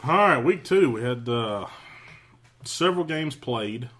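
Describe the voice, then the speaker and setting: A man co-hosting a casual podcast who recaps the week's games haltingly, with dry teasing. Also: medium pitch, animated, a bit bright. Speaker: podcast co-host.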